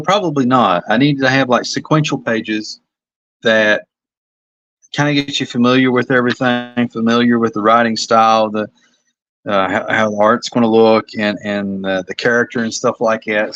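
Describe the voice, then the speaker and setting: A man's voice: mid-range voice, fairly bright tone, dry recording, dull audio. A man with a Kentucky accent, a comic artist talking casually and self-critically about his pages on a livestream. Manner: rambling, self-critical, hesitant. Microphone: phone.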